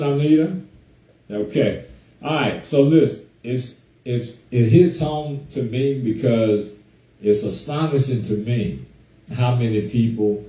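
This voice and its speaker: A man hosting a casual talk show on a group call, hoarse from a cold, chatting easily and greeting listeners by name. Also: fairly low pitch, warm tone, reverberant, far from the mic, rough recording. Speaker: host.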